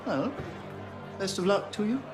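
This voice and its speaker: A man's voice: sits high, expressive, measured pace. A sly, theatrical king of thieves. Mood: sardonic. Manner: mocking, theatrical, drawling. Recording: music underneath.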